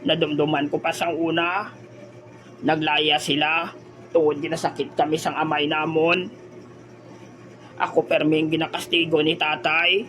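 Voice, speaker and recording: A man's voice: a little high, flat delivery, unhurried, pauses often, a bit bright, slight background noise. A man in a comedic audio drama playing a muddled, homesick simpleton who halts, stutters and repeats words. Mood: wistful, muddled.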